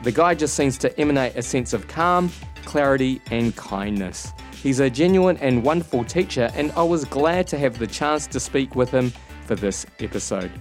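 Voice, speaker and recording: A man hosting a podcast, reading a measured introduction of his guest. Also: mid-range voice, expressive, soft background music. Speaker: podcast host.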